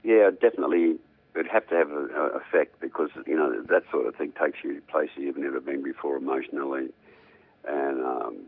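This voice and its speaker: An older man, a musician, chatting in a rambling, relaxed way as a radio guest. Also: a bass voice, fairly quick, no echo, narrow, tinny audio. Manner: rambling, hums riffs aloud.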